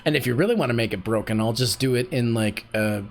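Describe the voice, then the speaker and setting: A man's voice: medium pitch, quick pace, a little hiss or hum. A man hosting a casual voice acting workshop on a livestream, chatty and self-deprecating, often laughing at himself.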